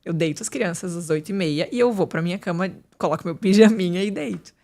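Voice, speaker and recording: A woman chats openly as a podcast guest. Her voice sits a little low for a woman, and she talks loosely, with plenty of fillers and restarts. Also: quick pace, creaky, a little mellow. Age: 30s. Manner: candid, chatty, with many fillers and restarts. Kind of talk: casual interview chat.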